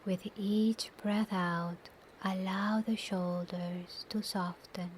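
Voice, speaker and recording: Soft, very slow woman guiding meditation. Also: mid-range voice, steady tone, slight background noise. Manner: soft, breathy, near-whispered, soothing delivery with long pauses. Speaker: guided meditation instructor. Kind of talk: guided meditation, slow scripted instruction. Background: soft nature ambience.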